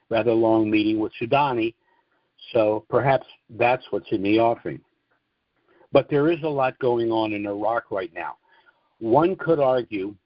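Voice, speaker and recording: A man hosting a conference call, giving measured, explanatory commentary and his own opinions in an informal monologue. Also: on the low side, dry recording. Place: conference call, dry close sound. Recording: dull, lo-fi conference call audio.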